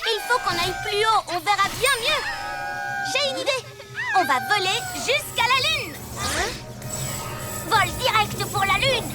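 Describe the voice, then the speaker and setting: A woman's voice: extremely high pitch, expressive, measured pace, pauses often. A woman playing an earnest, bossy six-year-old superhero girl in a children's cartoon, confident and emphatic. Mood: urgent. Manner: confident, take-charge, emphatic. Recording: music under the dialogue.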